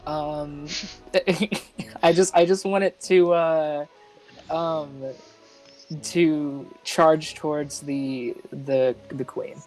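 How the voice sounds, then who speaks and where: A man chatting casually as a tabletop game player, hesitant and halting. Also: fairly high pitch, fairly even intonation, a little mellow, soft background music, poor audio.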